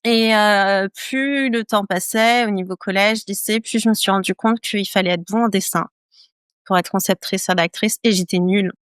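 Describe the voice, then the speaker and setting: A woman's voice: mid-range voice, fairly even intonation, moderate pace, clean tone, no echo, clean studio sound. A woman from France, a guest in a casual interview, talking with lots of hesitations and repeated phrases.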